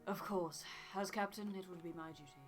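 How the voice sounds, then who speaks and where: A woman's voice: lowish voice, a little fast, soft background music, lightly acted. A woman voicing a dry, dutiful expedition captain from a video game, in a composed, wry manner. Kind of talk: voiced reading of video game dialogue.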